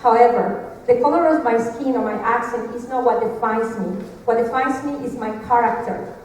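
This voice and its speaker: A woman's voice: a little high, a little mellow, a little hiss or hum. A woman with a Mexican Spanish accent, Spanish her first language, giving an earnest campaign speech at a candidate forum. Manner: earnest, a few fillers and restarts. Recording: echoey hall, mic far off.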